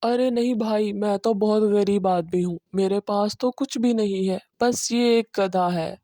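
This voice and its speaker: A woman's voice: mid-range voice, measured pace, warm tone, dry recording, studio quality, pitched below the performer's own voice, close to an everyday voice. A woman voicing a lazy, cunning young male cobbler with a glib, persuasive way of talking. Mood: pleading, sly.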